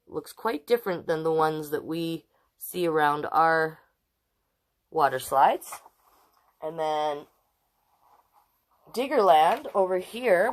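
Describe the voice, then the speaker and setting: Low-voiced woman hosting a storytime. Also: fairly bright tone. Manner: chatty, exclaiming, addresses viewers directly. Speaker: storytime host reading children's books. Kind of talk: casual livestream chat and read-aloud to children.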